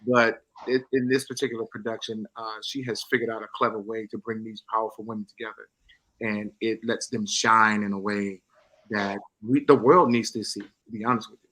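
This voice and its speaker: Bright, forceful middle-aged man, earnest. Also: lowish voice, flat delivery, a little fast, poor audio. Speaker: stage play actor. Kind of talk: promotional group interview.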